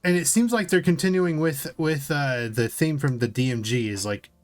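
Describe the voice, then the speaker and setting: A man's voice: a little high, moderate pace, faint music behind. A man co-hosting a podcast, giving opinions in an informal, casual chat. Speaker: podcast co-host. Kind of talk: casual chat reacting to a video.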